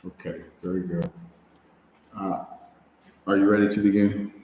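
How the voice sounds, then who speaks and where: A man runs a practice speaking test at an unhurried pace, asking one question at a time and answering with short acknowledgements. His voice sits at an ordinary pitch. It rings through a very echoey room with a long reverb tail and faint background noise. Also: little pitch movement, a little mellow. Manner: measured questioning with brief acknowledgements. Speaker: IELTS speaking examiner.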